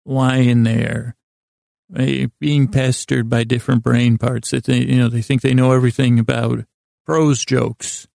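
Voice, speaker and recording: Male sleep-podcast host, mellow and very creaky, stammering through lighthearted, self-deprecating tangents. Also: medium pitch, flat delivery, no echo, studio quality. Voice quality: gravelly, creaky. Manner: halting, meandering, self-interrupting, stammering delivery. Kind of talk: rambling bedtime sleep podcast monologue.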